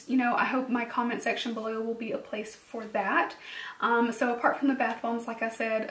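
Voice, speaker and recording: A young woman speaks straight to her viewers in a soft, earnest way, her fairly high voice worn and close to cracking. She fills pauses with ums and quick little laughs. The sound bounces around a bare room and she sits a little back from the microphone. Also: reverberant, distant mic. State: losing her voice, slightly cracking. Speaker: beauty vlogger. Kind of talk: personal vlog talking to camera.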